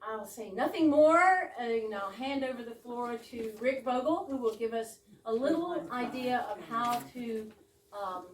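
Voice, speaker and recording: A woman's voice: a little high, animated, measured pace. A woman director presenting a play to an audience and reading its stage directions aloud. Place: indoor performance space with audience. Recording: echoey room from far off, faint noise.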